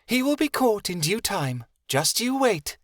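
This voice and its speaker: A man voicing an excitable fairy woman who speaks of herself by name. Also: high voice, measured pace, no room sound, clean studio sound, voice pushed up. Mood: stern.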